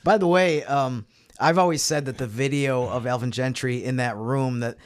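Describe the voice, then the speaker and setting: A man's voice: mid-range voice, moderate pace. An American man on a sports podcast panel, explaining things casually with hedged asides. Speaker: podcast panelist covering basketball. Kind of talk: casual sports roundtable talk.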